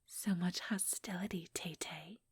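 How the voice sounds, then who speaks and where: A woman voicing an ambitious, teasing vampire in an ASMR roleplay, breathy and whispery, with a sugary, mocking condescension. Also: fairly low pitch, steady tone, a little slow, no room sound. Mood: teasing, taunting. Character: ambitious, teasing female vampire, smugly scheming.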